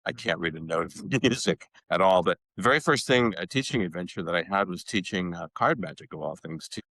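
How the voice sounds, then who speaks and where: A man in his sixties from Boston with a very low, deep voice talks about his past. He speaks in a reflective, conversational way, often repeating words and adding "you know." The recording is dry and close. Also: expressive, brisk, bright, forceful tone, no room sound. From Boston, United States. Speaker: guest, educational consultant. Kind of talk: conversational storytelling.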